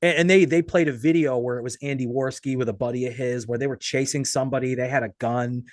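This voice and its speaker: Male podcast interviewer, mid-pitched, dry close sound. Casual, rambling, swears freely. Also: fairly even intonation, quick pace, no echo. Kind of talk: casual chat.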